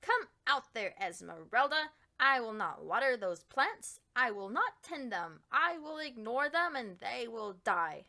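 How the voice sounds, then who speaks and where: A woman's voice: fairly high pitch, big pitch swings, unhurried, few pauses, pushed and bright, full character voice. A woman reading a storybook, voicing a stubborn, fussy woman gardener in an emphatic, grumbling manner. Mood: indignant, disgusted.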